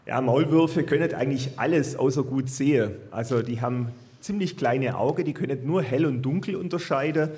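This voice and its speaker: A man's voice: medium pitch, normal speed, a little mellow. A man speaking as a zoologist guest, explaining animal facts to children informally and matter-of-factly.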